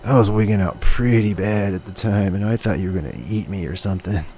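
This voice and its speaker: A man's voice: on the low side, brisk, mellow, natural voice. A man playing a laid-back, dim young stoner who is easily amazed, speaking in slangy slacker style in an audio comedy. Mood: casual. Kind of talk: comedic audio drama acting.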